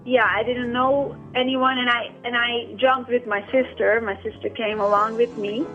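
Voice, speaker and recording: A woman from the Netherlands speaks as a guest in a radio interview, with a fairly high voice. She talks hesitantly and fills her pauses with "um" and "yeah." The audio sounds dull, with faint music underneath. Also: steady tone, fairly bright tone. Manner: hesitant, many ums and yeahs. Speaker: guest filmmaker.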